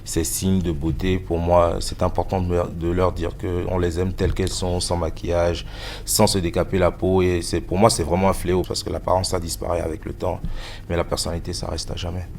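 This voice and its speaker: A man in his thirties answers interview questions about his music in a very deep, flat voice. He speaks haltingly and often stops to search for words. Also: gravelly, a little hiss or hum. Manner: hesitant, many fillers. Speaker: musician guest.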